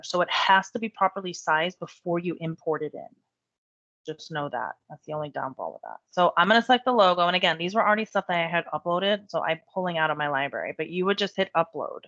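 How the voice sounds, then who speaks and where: A woman giving a chatty step-by-step software tutorial while losing her voice. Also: lowish voice, dry recording, muffled recording. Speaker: real estate software trainer. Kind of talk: screen-share software tutorial.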